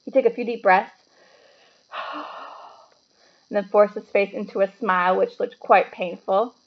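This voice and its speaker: A woman narrating a children's novel aloud as an expressive storybook reader. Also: mid-range voice, measured pace, lo-fi sound.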